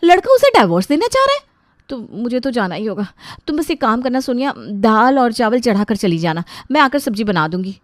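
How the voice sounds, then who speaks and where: A woman's voice: fairly high pitch, big pitch swings, brisk, dry recording, studio quality. A woman voicing a bossy, dramatic young sister-in-law, emphatic and indignant in a story. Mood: breezy, bossy.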